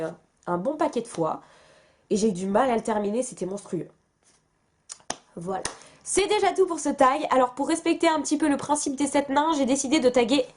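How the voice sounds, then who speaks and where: A young woman from France talks casually to her camera as a book vlogger. Her voice sits fairly high, and her chatty, self-mocking delivery is full of fillers and restarts. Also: a little mellow.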